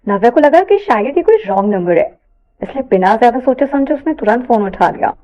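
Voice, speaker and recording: A woman reading a scripted story aloud as an expressive narrator. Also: a little high, a little fast, lo-fi sound.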